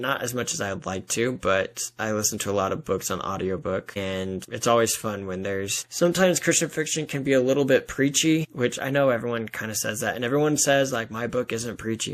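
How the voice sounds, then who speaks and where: A man talks as a guest author in an informal interview. His voice sits at an average pitch. He comes across as earnest and enthusiastic, speaking in loose, conversational phrases. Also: fairly bright tone. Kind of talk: casual interview talk.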